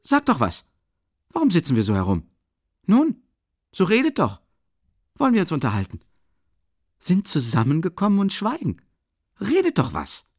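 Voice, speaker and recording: A man's voice: a little high, lively intonation, measured pace, pauses often, a little mellow, voice pushed up. A man voicing an agitated, feverishly chatty young man in a dramatic audiobook reading, abrupt and insistent. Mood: restless, demanding.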